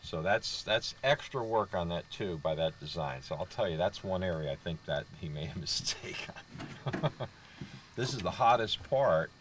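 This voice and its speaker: A man's voice: low voice, animated, normal speed, slight background noise. A man filming and chatting casually, firmly giving his opinion on technical design. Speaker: vlogger filming a radio hobbyist.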